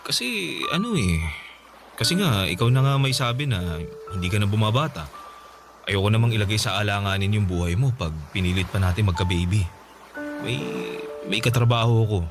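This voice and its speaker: A man's voice: lowish voice, lively intonation, a little fast, pauses often, over music. A Filipino man playing a put-upon, evasive boyfriend and father in a radio drama, defensive in manner. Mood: uneasy, evasive.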